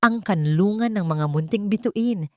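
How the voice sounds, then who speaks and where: A woman's voice: medium pitch, measured pace, dark tone, no echo, studio quality. A woman with a Filipino accent reading a dramatic love story as a scripted narration.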